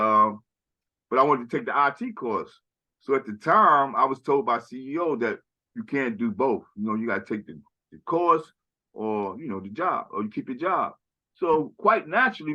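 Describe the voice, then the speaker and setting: A man's voice: mid-range voice, pushed and bright, muffled recording. A man speaking in a casual conversational chat as a podcast guest, rambling and often tagging "right?" and "you know".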